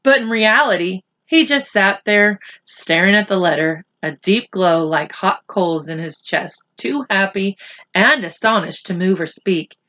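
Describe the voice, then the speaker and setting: A woman reading a story aloud to children expressively and deliberately, with teacherly asides. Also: mid-range voice, animated, bright, forceful tone.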